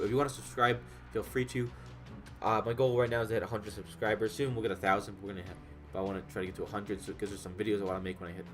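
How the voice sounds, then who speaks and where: Young man, low voice, nasal and stuffed up, rambling casual commentary, swears a lot, faint music underneath. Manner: rambling, profane, frequent fillers and restarts. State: congested, under the weather. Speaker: gaming YouTuber. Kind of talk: casual commentary reading patch notes.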